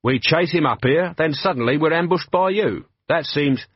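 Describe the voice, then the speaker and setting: A man's voice: fairly high pitch, moderate pace, breathy edge, no echo, muffled recording, pitched above the performer's own voice. A man voicing a weary, sarcastic middle-aged police commander with a dry, sardonic delivery.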